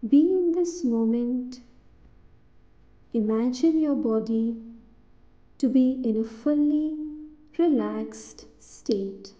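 A woman narrating a scripted guided meditation in a soothing, deliberate way, in short phrases with pauses between them. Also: fairly high pitch, dark tone, highs cut off.

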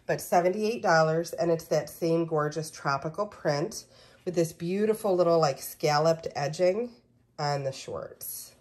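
A woman's voice: sits low, darkish tone. A woman recording a casual shopping haul vlog on a phone, enthusiastically showing items one by one and listing their prices. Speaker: personal shopper and pin seller. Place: indoors at a table of merchandise.

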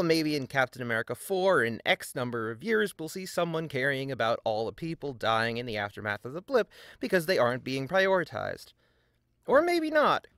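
A man's voice: medium pitch, fairly bright tone, dry recording. A man narrating a scripted video essay in a sardonic, emphatic way with dry asides.

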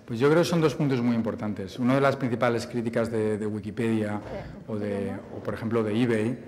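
Speaker, man in his forties, podium talk in a reverberant banquet hall, halting restarts. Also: lowish voice, fairly even intonation, a crowd in the background. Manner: thoughtful, repeats words while searching for phrasing. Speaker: conference speaker. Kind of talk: Q&A answer at a forum. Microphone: podium mic.

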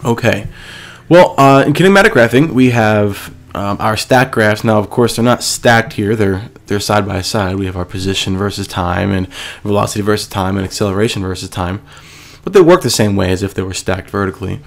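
Gravelly-voiced male teacher with a cold. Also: fairly low pitch, fairly quick. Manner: patient, step-by-step, asks and answers himself. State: stuffy from a cold. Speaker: physics teacher. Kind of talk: screencast lesson explaining a worksheet.

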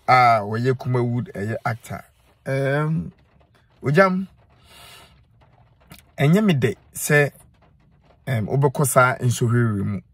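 A man's voice: mid-range voice, slightly rough, dry recording. A middle-aged Ghanaian man speaking Twi, giving earnest, scolding advice straight to his phone camera from inside a car, and repeating lines for emphasis. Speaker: social media commentator. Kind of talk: direct-to-camera advice monologue. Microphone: phone held close.

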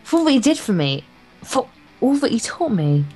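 A woman's voice: fairly high pitch, very animated, normal speed, a little mellow, slightly rough, faint music behind, rough recording. A woman reading visual novel text aloud as a let's play co-host, dramatic and jokey. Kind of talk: story read-aloud with playful banter.

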